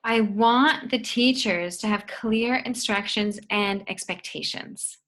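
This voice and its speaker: A woman guiding a self-inquiry session, asking questions slowly, gently and deliberately. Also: mid-range voice. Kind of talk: slow guided questioning.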